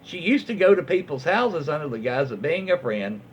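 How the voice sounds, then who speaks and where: Folksy Southern US man, highish animated voice, rambling casual story reader, dull lo-fi recording. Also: moderate pace. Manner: folksy, rambling, drawling slang. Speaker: host reading online stories aloud. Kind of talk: casual story reading with asides. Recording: dull lo-fi audio with faint noise.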